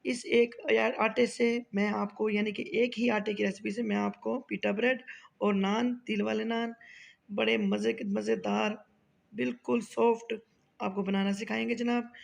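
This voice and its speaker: A woman with a hoarse, heavily gravelly voice walks viewers through a home recipe. She speaks in a fairly flat, even tone, chatty and polite, and keeps addressing her audience respectfully. The rasp of a sore throat sits on every phrase. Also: a little high. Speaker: cooking channel host. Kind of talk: home cooking tutorial.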